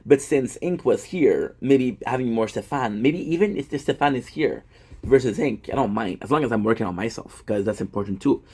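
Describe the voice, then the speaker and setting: Husky rambling man in his thirties. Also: mid-range voice, expressive, a little fast, a little mellow. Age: 30s. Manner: rambling, self-deprecating, many fillers and restarts. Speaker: gaming content creator. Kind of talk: casual rambling monologue.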